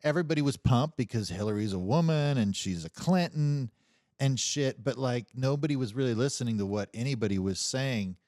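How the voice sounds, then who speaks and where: Dude on a livestream, really animated pitch swings, exasperated and sweary, dry room sound. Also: male voice, brisk, no room sound. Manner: emphatic, exasperated, frequently swearing. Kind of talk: casual political chat.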